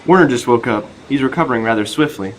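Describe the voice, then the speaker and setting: A man acting a brisk town doctor in an amateur radio play. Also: medium pitch, close to an everyday voice.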